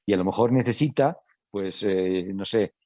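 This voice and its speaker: Halting Italian-accented man, mellow voice. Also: lowish voice, dry recording. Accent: Italian, with a Spanish Castilian touch. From Italy. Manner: halting, many restarts, conversational. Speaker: hospital medical director, panelist. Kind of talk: panel discussion.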